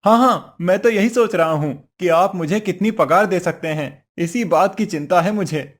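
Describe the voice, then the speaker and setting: A man's voice: high-pitched, moderate pace. A man voicing a poor, simple woodcutter husband in a cartoon story, earnest, meek and fretful. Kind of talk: Hindi cartoon story voice acting.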